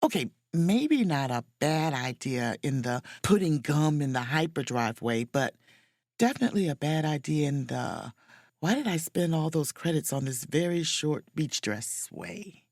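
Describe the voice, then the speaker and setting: A woman acts a wry, overworked female starship officer trying to relax, in a romantic comedy audio drama. Her voice swings widely in pitch, lingers in its slow pace, and breaks into awkward muttered asides. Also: lively intonation, a little mellow, no echo, clean studio sound, full character voice. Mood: wry, exasperated.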